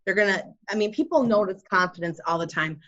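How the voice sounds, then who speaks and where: A woman's voice: mid-range voice, fast, fairly bright tone. Earnest woman host, dull lo-fi sound. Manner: earnest, explanatory. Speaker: podcast host and Reiki practitioner. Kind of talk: conversational wellness talk.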